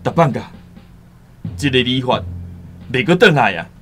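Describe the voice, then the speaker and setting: A man's voice: mid-range voice, lively intonation, fairly bright tone, close to an everyday voice. Man acting an earnest islander over music. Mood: sombre. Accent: Taiwanese. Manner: deliberate, solemn. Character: earnest worldly male islander, cautious returned seafarer. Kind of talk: radio drama acting with first-person storytelling. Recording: music behind the voice.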